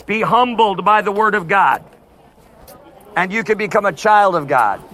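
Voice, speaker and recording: A man preaching loudly on the street outside a concert, without an amplifier, calling out to passers-by as neighbors. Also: high voice, slight background noise. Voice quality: loud voice. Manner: forceful, repeatedly addressing "neighbors". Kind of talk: loud outdoor street preaching.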